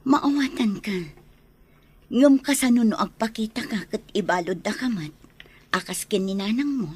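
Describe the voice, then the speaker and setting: Husky, concerned Filipino woman played by an actress. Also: medium pitch, normal speed, natural voice. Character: concerned, protective woman advising a younger man.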